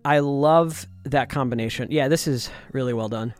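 A man's voice: medium pitch, moderate pace. A man co-hosting a casual chat about music, enthusiastic and laughing often. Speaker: co-host.

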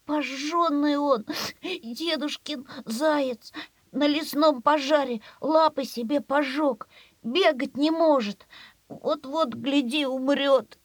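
A woman playing an earnest, tender little village boy in an audio play, speaking in a hushed, halting, plaintive way. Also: high voice, dry recording. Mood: tearful. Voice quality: hushed, husky half-whisper. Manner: slow, halting, plaintive speech.

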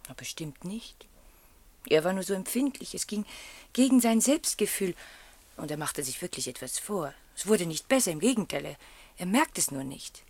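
An actress playing a reserved, thoughtful woman, measured and gently sceptical, in a German radio play. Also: on the low side, sing-song, breathy edge, no echo, full character voice. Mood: confiding, earnest. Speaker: radio drama actor.

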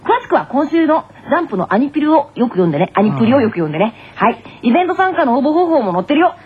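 An actor playing an energetic, cheerful teenage boy tennis player with casual, bouncy speech in an anime radio skit. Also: high voice, racing. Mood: upbeat. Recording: muffled phone-like lo-fi radio audio.

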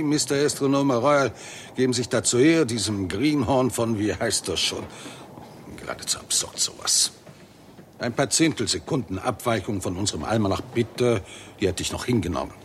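A man acting an eminent, scornful professor in a vintage sixties German radio drama. He speaks with sarcastic indignation at a middling pitch, with vehicle noise clearly audible behind him. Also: lightly acted. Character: scornful, irascible eminent British astronomy professor. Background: vehicle interior noise on a drive.

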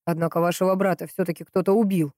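A woman voicing a polite, sceptical, puzzled male police investigator in a crime audiobook, questioning in a measured way. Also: on the low side, level tone, mellow, no echo, clean studio sound, lightly acted. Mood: calm, urging.

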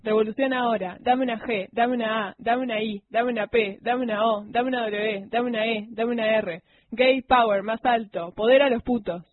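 A woman's voice: fairly high pitch, steady tone, moderate pace. A woman reads out a political speech. Her delivery is defiant and emphatic, challenging her listeners. The sound is thin and narrow, like a telephone line. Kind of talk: translated political speech read aloud with fierce delivery.

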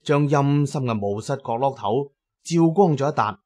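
A man's voice: mid-range voice, normal speed, darkish tone. A man giving dramatic first-person narration as the narrator of an audio drama.

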